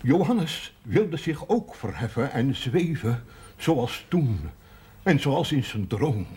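An actor playing a gruff but caring man in a television drama, with a very husky voice and deliberate delivery. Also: medium pitch, very animated, mellow, exaggerated voice. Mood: tender, solemn. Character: gruff, caring man reading aloud to a sick companion.

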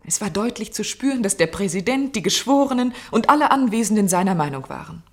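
A woman's voice: medium pitch, lively intonation, fast, natural voice. A woman acting an earnest, persistent character in a German radio play, speaking with insistence. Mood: earnest, sceptical. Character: earnest, persistent woman pleading against an unjust conviction.

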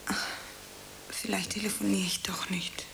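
A woman playing a young, emotional aspiring actress in a radio drama, melodramatic and given to breathy asides. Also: mid-range voice, level tone, measured pace, a bit bright, whispered, rough recording. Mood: subdued, hesitant. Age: young adult. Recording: faint hiss.

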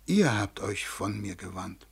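Weary old God for 1940s radio drama: very raspy, creaking, whining with pity. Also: male voice, fairly low pitch, big pitch swings, a little slow, exaggerated voice. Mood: lamenting. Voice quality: soft, husky. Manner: whining, plaintive. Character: feeble old man as God, sorrowful, pitying, helpless. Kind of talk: 1940s German radio play acting.

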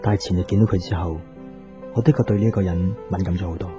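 An actor with a Hong Kong Cantonese accent playing a brooding, jealous young boyfriend in a radio drama. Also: male voice, deep voice, steady tone, very fast, close to an everyday voice. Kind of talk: Cantonese radio drama, inner narration and dialogue. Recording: dull lo-fi radio recording with music.

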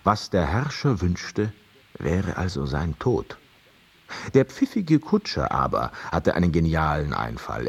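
An actor playing a wry storyteller, narrating an old tale in expressive, deliberate radio drama style. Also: male voice, low voice, gravelly, husky, full character voice.

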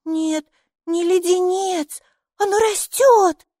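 A woman voicing a lively, stubborn, inquisitive little girl in a children's audiobook, speaking emphatically. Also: very high-pitched, measured pace, dry recording, studio quality, pitched above the performer's own voice. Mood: amazed. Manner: emphatic, excitable, matter-of-fact.

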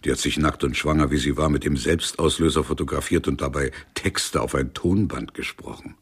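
An actor playing an erudite, ironic police detective in a radio crime play, with a husky voice and a pompous, dry manner. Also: male voice, very deep voice, fairly quick, exaggerated voice. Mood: dry, pondering. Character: erudite, world-weary male police detective, wordy and ironic. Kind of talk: 1990s German radio play acting.

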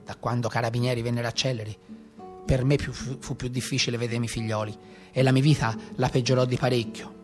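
A man's voice: mid-range voice, darkish tone, lightly acted. Actor as a Tuscan brigand telling his tale. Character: rough Tuscan peasant brigand of the Maremma, recounting his life. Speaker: stage actor. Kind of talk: theatrical monologue storytelling. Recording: live guitar music behind.

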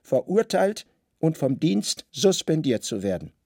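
A man playing a grave, calculating Roman secret service chief who speaks calmly, deliberately and deadpan in a literary radio drama. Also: fairly high pitch, creaky, breathy edge, no room sound, studio quality, voice pushed up, full character voice. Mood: grave, contrite.

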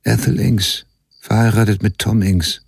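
An actor playing a writer who soberly narrates his past in a radio drama, with a husky, dark, gravelly voice. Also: on the low side, steady tone, measured pace, no echo, studio quality, full character voice. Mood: sober, reflective. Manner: sober, matter-of-fact. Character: grown male writer soberly recounting his murdered mother's case. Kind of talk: scripted first-person narration, radio drama.

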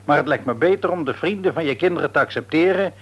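An actor playing a reasonable middle-aged father in a radio play, gently probing with mild irony. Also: a little high, animated, quick pace, slightly rough, dull audio. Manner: questioning, mildly ironic. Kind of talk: Dutch radio play acting.

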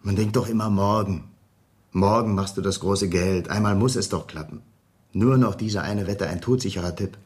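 Man playing a low-pitched, rueful down-on-luck gambler. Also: moderate pace, darkish tone, lightly acted. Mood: desperate hope. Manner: rueful, conversational, coaxing. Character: debt-ridden gambler, a pleading, fatalistic fired employee. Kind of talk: German radio drama acting.

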